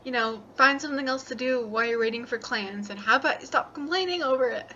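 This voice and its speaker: Female, bright sharp voice, informal opinion talk, muffled recording with faint noise. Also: sits high, fast. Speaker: video maker defending game developers. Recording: dull lo-fi audio, faint noise.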